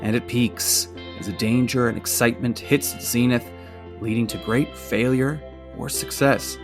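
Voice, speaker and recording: A man's voice: medium pitch, fairly even intonation, moderate pace. A man reading scripted narration for a podcast trailer. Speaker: promo narrator. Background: plucked guitar music.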